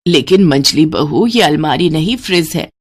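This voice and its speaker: A woman voicing an older, weary mother-in-law who manages her household and scolds, in an animated story. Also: deep voice, normal speed, voice pushed down. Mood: correcting. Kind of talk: animated moral story character voice.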